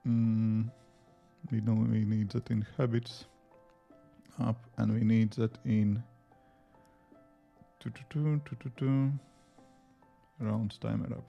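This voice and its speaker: Latvian guy rambling thru chat questions while coding live, low gravelly mellow voice, bit of music behind. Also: little pitch movement, slow, long pauses. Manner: rambling, answers chat questions while working, many fillers. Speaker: livestreaming app developer.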